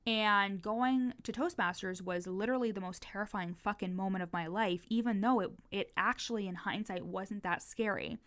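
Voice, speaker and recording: A woman's voice: mid-range voice, a bit bright. A young woman in her twenties hosting a casual solo podcast, who has a stutter, swears freely and fills her speech with "like". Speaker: podcast host and business coach.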